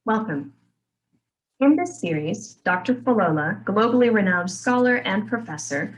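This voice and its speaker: A woman's voice: medium pitch, rough recording. A woman, the series organizer, reading a scripted welcome and listing items one by one. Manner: reads list items one by one. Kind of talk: scripted introduction.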